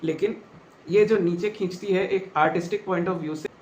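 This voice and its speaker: A man giving a spoken film review, measured and thinking aloud as he goes. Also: sits high, a little mellow. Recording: roomy, dull sound with faint noise.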